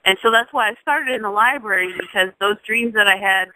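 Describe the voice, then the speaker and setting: A woman's voice: mid-range voice, fairly quick, dry recording, poor audio. A woman in her thirties, a singer from Jamaica, speaking as an interview guest in a thoughtful, casual way, restarting phrases often. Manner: thoughtful, with frequent restarts and soft laughs.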